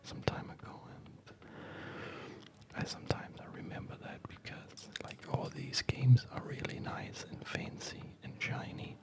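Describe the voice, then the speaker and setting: Slow whispering man, ASMR gamer. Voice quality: soft breathy whisper. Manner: gentle, unhurried, hesitant pauses. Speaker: ASMR video creator. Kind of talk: ASMR gaming commentary. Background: music.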